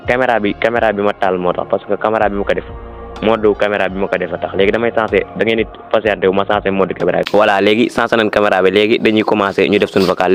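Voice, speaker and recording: A man's voice: on the low side, slight background noise. A man with a Senegalese accent gives an informal step-by-step app tutorial, repeating instructions and mixing in French words. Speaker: tutorial presenter.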